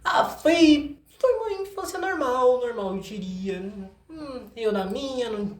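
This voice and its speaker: A man's voice: very high voice, lively intonation, a little slow. A young man with a Brazilian accent chatting casually as a guest, often repeating words and short phrases in a row. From São Paulo state, Brazil. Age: young adult. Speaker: podcast guest, singer and songwriter. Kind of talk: casual chat. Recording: echoey room.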